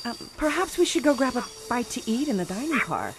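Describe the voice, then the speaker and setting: A woman's voice: on the high side, sing-song, fairly quick, background noise. An actress playing a cautious, level-headed young woman on the run in an audio drama. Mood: polite, uneasy.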